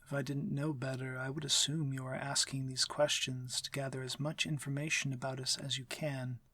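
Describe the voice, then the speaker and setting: A man playing a courteous, commanding vampire lord in an intimate ASMR roleplay, speaking formally in a measured, breathy, near-whispered voice. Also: medium pitch, flat delivery, sharp tone, no echo. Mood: dry, formal. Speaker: audio roleplay voice actor.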